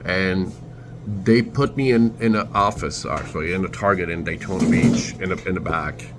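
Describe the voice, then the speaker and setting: A young man with a Turkish accent talks to the camera in a deep, low voice, stopping and restarting his sentences as he searches for words. Steady background noise runs under his speech. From Turkey. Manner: halting, restarts, self-corrects often. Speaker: vlogger. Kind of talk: casual storytelling. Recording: noisy background ambience.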